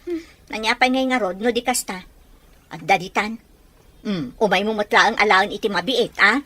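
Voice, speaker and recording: A woman's voice: medium pitch, normal speed, pushed and bright, no room sound. A woman acting a blunt, reproachful, nagging older female relative in an Ilocano radio drama, with an Ilocano accent.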